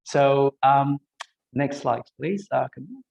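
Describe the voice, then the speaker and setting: Guy from malaysia in his 30s, slides talk, kinda gravelly, lots of uh and um. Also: mid-range voice, a little slow, darkish tone, dull audio. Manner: hesitant, many ums and uhs. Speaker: biomedical engineer, panel speaker. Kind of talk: online career talk with slides.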